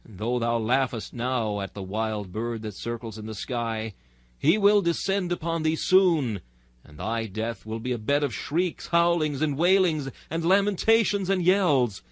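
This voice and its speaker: A man's voice: mid-range voice, lively intonation, measured pace, no room sound. A man reading a sermon in a solemn, exhorting, oratorical manner. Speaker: narrator reading a historical sermon.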